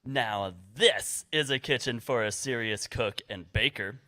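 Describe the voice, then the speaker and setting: A man's voice: mid-range voice, a little slow, sharp tone. A man playing a coaxing real estate agent, eager to sell, in a scripted fairy-tale parody. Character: smooth-selling male real estate agent, eager to please. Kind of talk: scripted stage parody sales pitch.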